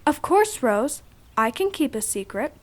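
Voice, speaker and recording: A woman's voice: high voice, big pitch swings, unhurried, heavily acted. A woman playing a curious, admiring twelve-year-old girl in a religious audio drama. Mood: curious, earnest.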